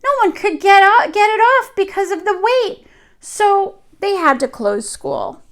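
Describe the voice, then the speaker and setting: A woman reading aloud to young children in an expressive storytelling style, with dramatic emphasis. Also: very high-pitched, measured pace. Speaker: children's story reader, teacher.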